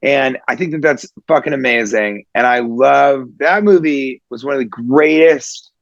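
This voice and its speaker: A man's voice: medium pitch, unhurried, gravelly, no room sound, dull audio. A man co-hosting a podcast in casual chat, talking about films with eager emphasis. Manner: enthusiastic, emphatic film talk. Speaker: podcast co-host.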